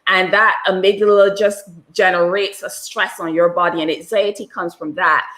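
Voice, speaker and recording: A woman's voice: lowish voice, fairly even intonation, normal speed, fairly bright tone, rough recording. A woman with a Barbadian accent, a guest author and coach on an interview podcast, explaining at length with occasional fillers.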